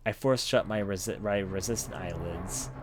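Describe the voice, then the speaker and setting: A man with a fairly low voice reads visual novel narration aloud as a let's play commentator. His delivery is dramatic, with some stumbles over words. Faint noise sits behind the voice. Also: moderate pace.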